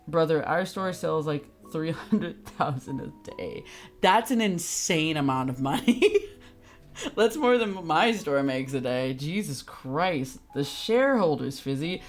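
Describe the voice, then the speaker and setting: Casting: young adult livestreamer, mid-range androgynous voice, lively intonation, giggly, blunt and sweary. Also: mid-range voice. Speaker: game streamer. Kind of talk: casual livestream chat with viewers.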